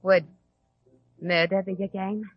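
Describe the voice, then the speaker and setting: Actress as husky, coaxing tavern girl. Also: medium pitch, steady tone, measured pace, no room sound, natural voice. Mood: conspiratorial. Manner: familiar, coaxing, probing. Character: chummy, coaxing London tavern girl with shady schemes. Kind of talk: 1940s radio drama acting. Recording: tinny, narrow old radio recording.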